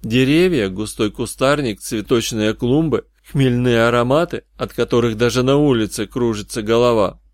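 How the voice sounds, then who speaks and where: A man's voice: mid-range voice, moderate pace, few pauses, no room sound. Male audiobook narrator, dry close recording. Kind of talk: scripted first-person detective fantasy narration.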